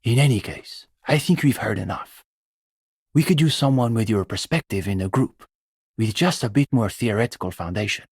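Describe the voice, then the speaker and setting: An actor playing an earnest, pretentious young communist student intellectual with a bookish, opinionated way of talking, in a video game. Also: male voice, lowish voice, lively intonation, moderate pace, husky, dry recording, clean studio sound. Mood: politely welcoming. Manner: bookish, opinionated, academic phrasing. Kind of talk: video game character dialogue.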